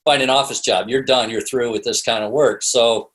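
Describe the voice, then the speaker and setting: A man's voice: medium pitch, fairly even intonation, moderate pace, a bit bright. Chatty middle-aged man telling anecdotes. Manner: chatty, rambling, peppered with "you know". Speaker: podcast guest, sales expert and author. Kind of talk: casual anecdotal interview storytelling.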